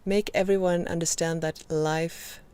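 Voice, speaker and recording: A woman in her fifties with a Swedish accent, hosting a live video Q&A and gently encouraging her viewers. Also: fairly low pitch, fairly even intonation, clear, resonant tone. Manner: gentle, encouraging, slow and reflective with many pauses. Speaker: host.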